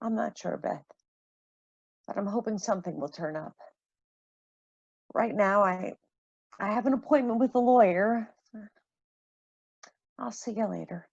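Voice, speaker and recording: A woman acting a troubled, apologetic mother in a modern radio play, speaking earnestly and haltingly. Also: mid-range voice, gravelly, a little mellow, breathy edge, no echo, dull audio. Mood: subdued, worried.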